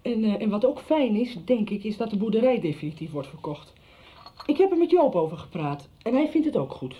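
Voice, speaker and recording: A woman's voice: on the high side, expressive, fairly quick, natural voice. An actress playing a hesitant, conciliatory woman in a radio soap. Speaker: actor. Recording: slightly dull radio recording.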